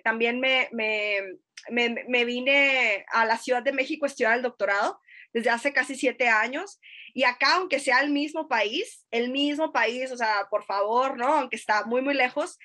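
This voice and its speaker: A woman's voice: a little high, pushed and bright. A woman in her thirties from Sonora with a northern Mexican accent, telling personal stories in a casual podcast chat, hesitant with many fillers and tag questions. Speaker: podcast guest. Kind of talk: casual conversational storytelling.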